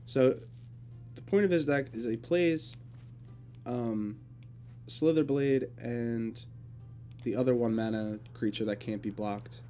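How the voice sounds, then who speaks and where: A man's voice: medium pitch, slow pace, long pauses, faint music behind. Congested man casually commentating a card game. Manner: relaxed, thinking aloud while playing. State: congested, sore throat. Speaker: gaming video creator.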